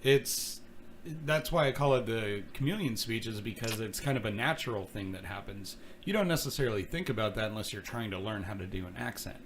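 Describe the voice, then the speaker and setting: A man's voice: lowish voice, brisk, fairly bright tone, slight background noise. A man co-hosting a podcast in casual chat, teasing his friend and explaining ideas. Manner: wry, teasing, explains things. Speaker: podcast co-host.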